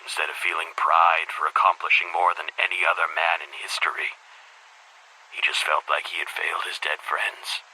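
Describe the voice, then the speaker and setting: A man playing a weary, cynical older headmaster who confides in a tape recorder, speaking in a husky rasp with brooding, deliberate storytelling, as in a video game audio log. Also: low voice, little pitch movement, pushed and bright, slight background noise, heavily acted, low-quality recording. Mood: grave, reflective.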